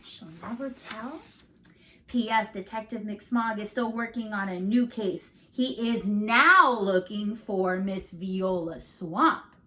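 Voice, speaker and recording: A woman reads a children's story aloud in a teacherly way. Her pace is slow and her pitch is average, with expressive emphasis and asides that question the young listeners.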